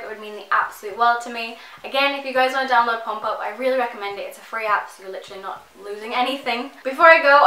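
A woman's voice: on the high side, bright, forceful tone, roomy sound, a little hiss or hum, rough recording. A young woman in her twenties chatting to camera in her bedroom, rambling enthusiastically and saying "like" a lot. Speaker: fitness vlogger. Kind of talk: casual chatty sponsored vlog. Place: bedroom, indoors.

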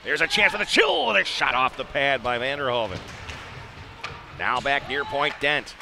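A man does live play-by-play of an ice hockey game in short, clipped phrases. His voice sits at a medium pitch and is excitable on big plays. There is faint rink noise behind him, as on a live arena broadcast. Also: normal speed, creaky.

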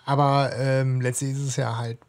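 A man's voice: mid-range voice, little pitch movement, moderate pace. A man co-hosting a podcast, talking through a story in a casual, conversational way and steering the discussion. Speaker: podcast host. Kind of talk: casual discussion of an audio drama.

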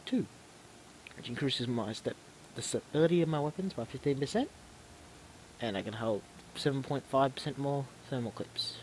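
A man's voice: medium pitch, slight background noise, low-quality recording. A man with a stuffy, snuffly voice from a cold gives casual commentary on his own video game match. He often rambles and stops to correct himself. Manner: rambling, self-correcting, restarts words.

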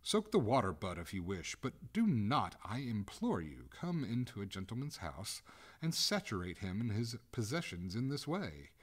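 A man reading a story aloud, voicing an exasperated, stiffly polite gentleman who is master of a haunted hall; the voice is raised and pitched higher than his narration. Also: sing-song, moderate pace.

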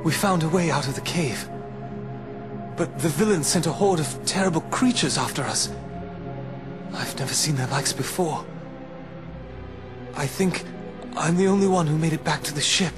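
Weary young swordsman for a game, male actor: higher-toned, earnest, halting, over a clear musical score. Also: music in the background, lightly acted. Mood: weary, troubled. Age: young adult. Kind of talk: video game cutscene acting.

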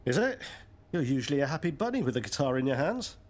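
An actor playing a sensible, blokey British friend in a naturalistic radio soap. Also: male voice, medium pitch, very animated, fast talker, a little mellow. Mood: matey, exasperated. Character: sensible, blokey friend tinkering with sound gear.

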